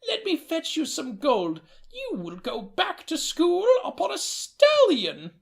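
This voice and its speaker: A man voicing a confused, kindly old grandmother in a high, quavering falsetto. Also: huge pitch swings, slow pace, vocal fry, exaggerated voice. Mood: doting.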